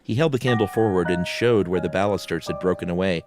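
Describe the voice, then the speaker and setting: A man's voice: sits low, fast, over music. A man co-hosting a podcast, moving between casual banter and dramatic storytelling. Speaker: podcast co-host and story narrator.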